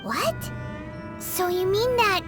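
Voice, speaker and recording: A tiny fairy girl sidekick from a game. The voice is extremely high, squeaky and childlike, swooping up and down in blunt, excitable exclamations. Music plays clearly behind it. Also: takes it slowly, exaggerated voice. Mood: shocked, confused. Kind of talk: cartoonish video game voice acting.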